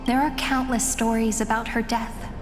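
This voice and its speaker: An actress playing a solemn storyteller, narrating a legend in a video game with measured, grave restraint. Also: female voice, on the high side, level tone, close to an everyday voice. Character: solemn female storyteller chronicling an empress's legend. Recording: long reverb with music behind.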